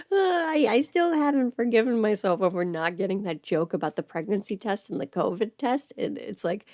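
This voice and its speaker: A woman's voice: medium pitch, darkish tone, no echo, clean studio sound. A woman who is sick, chatting casually on a livestream as host, thinking aloud and interrupting herself. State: sick at home with covid. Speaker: livestream host, audio creator. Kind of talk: casual rambling livestream chat. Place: at home.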